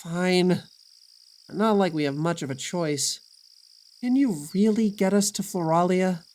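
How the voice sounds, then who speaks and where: A woman playing a grumpy, guarded, sarcastic young traveler in an audio drama, deadpan and curt. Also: on the low side, unhurried, natural voice.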